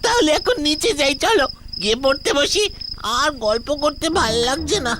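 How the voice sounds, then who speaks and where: A woman voicing an excitable, cheeky young schoolchild in a cartoon. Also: high voice, moderate pace. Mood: curious. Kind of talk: animated cartoon acting.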